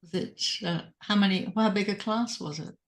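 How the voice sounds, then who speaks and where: A woman's voice: mid-range voice, normal speed. A woman hosting an interview podcast, a physician herself, asking curious follow-up questions and giving short affirmations. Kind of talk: conversational interview.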